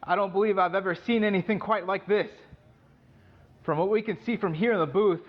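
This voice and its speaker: A man playing an excited rodeo announcer calling an event, heard through a tape player. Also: sits high, fairly quick, lightly acted. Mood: astonished. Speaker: sports commentator. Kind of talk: live rodeo commentary. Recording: dull, noisy old tape playback.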